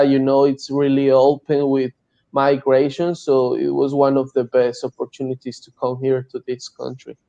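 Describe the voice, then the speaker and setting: A man's voice: medium pitch, vocal fry, dark tone. A man in his early thirties with a Colombian accent, answering casually as an interview guest. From Colombia, Bogota. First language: Spanish. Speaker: interview guest, DevOps engineer. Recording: dull lo-fi online call sound.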